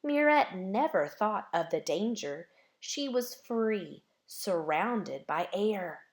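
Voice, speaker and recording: A woman reading a children's picture book aloud with expressive, measured phrasing. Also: mid-range voice. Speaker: storybook reader.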